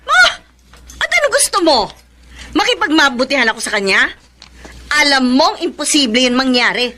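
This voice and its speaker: A woman's voice: sits high, sing-song, moderate pace, sharp tone, a little hiss or hum, full character voice. An actress playing a bitter, jealous, defiant woman in a Filipino radio soap, with a Filipino accent and a sarcastic, combative, theatrical delivery.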